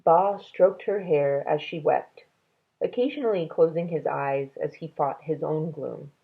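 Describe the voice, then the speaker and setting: Slow, low, husky woman reading aloud. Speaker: read-aloud storyteller. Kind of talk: reading a children's novel aloud. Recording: band-limited, tinny sound.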